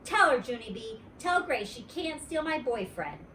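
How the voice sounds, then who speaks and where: Jealous storybook schoolgirl, played by a woman: bossy, huffy, raised pitch, echoey room. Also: big pitch swings, moderate pace, pushed and bright, slight background noise. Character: possessive, jealous, grouchy little schoolgirl. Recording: roomy echo, distant mic.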